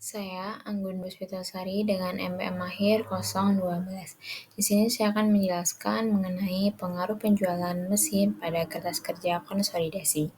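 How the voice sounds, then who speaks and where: A woman's voice: mid-range voice, level tone, normal speed. A young woman giving an explanatory presentation as a student. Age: young adult. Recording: clear background noise.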